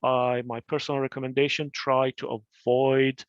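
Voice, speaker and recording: A man's voice: mid-range voice, flat delivery, normal speed, no echo, muffled recording. Iraqi-born man giving career advice. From Iraq. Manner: advisory, with frequent fillers and restarts. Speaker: career webinar presenter. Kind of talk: informal presentation.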